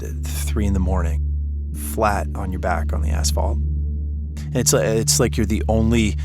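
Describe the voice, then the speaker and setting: An actor playing a broken-down, haunted radio DJ in a horror audio drama, hesitant and defensive. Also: male voice, fairly low pitch. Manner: hesitant, guarded.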